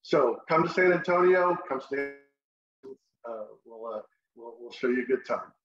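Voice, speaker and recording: A man's voice: mid-range voice, expressive, echoey room, dull audio. A middle-aged man with a Texan accent, a guest in a casual interview, friendly, often restarting his sentences. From Texas. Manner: friendly, halting with repeated restarts. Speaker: short-term rental host, guest.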